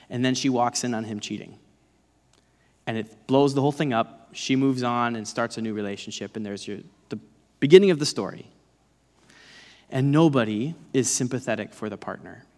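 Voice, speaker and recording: Man preaching in a reverberant hall. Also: medium pitch. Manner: emphatic, dramatizes points with rhetorical questions. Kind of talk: conversational sermon. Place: large dark church stage, indoors.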